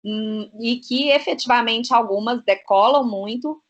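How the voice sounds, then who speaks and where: A woman with a somewhat high voice speaks as a guest in a casual interview. She talks at length, dotting her sentences with little filler tags. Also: unhurried, muffled recording. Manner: talkative, fills with "né" and "é". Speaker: business consultant guest.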